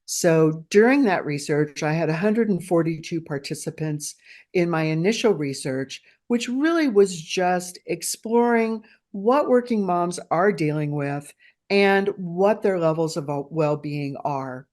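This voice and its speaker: A woman's voice: fairly low pitch, lively intonation, darkish tone. Middle-aged american woman, researcher on a podcast, careful earnest talk, academic words. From United States. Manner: earnest, measured, academic phrasing. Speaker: researcher and guest. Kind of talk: conversational interview answer.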